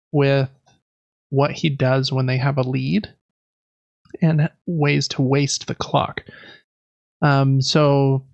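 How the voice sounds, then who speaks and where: Male podcast co-host, easygoing and chatty, gravelly fry in the voice, dry and slightly lo-fi sound. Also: mid-range voice, dry recording. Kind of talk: casual chat.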